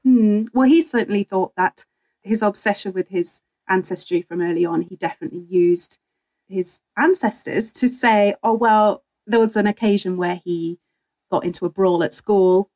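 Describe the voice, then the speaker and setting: Woman historian chatting, mid-pitched voice. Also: moderate pace, darkish tone, low-quality recording. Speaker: guest author and historian. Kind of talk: casual conversational explaining.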